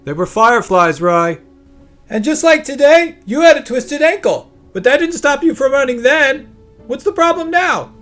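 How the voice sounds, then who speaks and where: A man's voice: very high voice, sing-song, moderate pace, fairly bright tone, faint music behind, voice pushed up, exaggerated voice. A man voicing a mischievous little brother, a young boy who teases and goads. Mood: taunting. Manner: teasing, goading.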